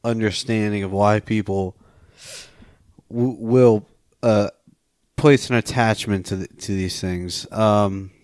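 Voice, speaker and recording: A man's voice: on the low side, fairly even intonation, slow pace, a little mellow, no room sound, clean studio sound. A man hosting a casual movie review podcast, talking in a teasing, profane way. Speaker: podcast host.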